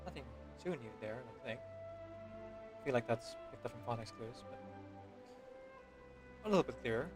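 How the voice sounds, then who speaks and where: Very low-voiced woman streaming a game. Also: expressive, moderate pace, long pauses. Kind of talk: casual livestream reactions to a game story. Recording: loud game music behind.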